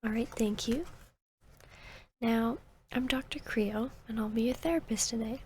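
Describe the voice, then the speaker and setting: A woman playing a calm, soothing vampire therapist in an ASMR roleplay, speaking softly and gently in a breathy, measured, near-whispering way. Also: fairly high pitch, slight background noise, lightly acted. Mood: calm, reassuring. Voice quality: breathy, soft. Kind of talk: ASMR roleplay, soft-spoken to the listener.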